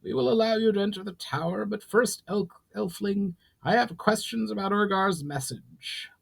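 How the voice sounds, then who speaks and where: A man's voice: sits high, moderate pace, vocal fry, husky, pitched above the performer's own voice, full character voice, poor audio. A man voicing a suspicious, proud goblin leader in formal, challenging speech for a fantasy story. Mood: demanding.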